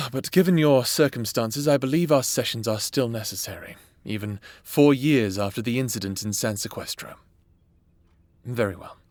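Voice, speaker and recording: A man playing a patient government therapist in an audio roleplay, with measured, clinical and formal diction, close on the mic. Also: mid-range voice, a little mellow, dry recording, close to an everyday voice. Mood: calm, clinical. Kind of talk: cinematic audio roleplay acting, addressing the listener. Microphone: close, dry studio mic.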